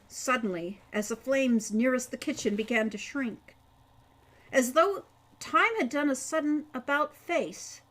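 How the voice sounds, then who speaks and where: High-voiced woman narrating an adventure story. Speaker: author reading own audiobook chapter.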